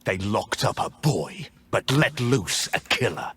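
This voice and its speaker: A man playing a vengeful escaped mage prisoner who leads a rebellion, with dramatic, deliberate video game delivery and a rough, husky voice. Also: lowish voice, sing-song, a bit bright, a little hiss or hum, exaggerated voice. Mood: menacing. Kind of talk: theatrical video game voice lines.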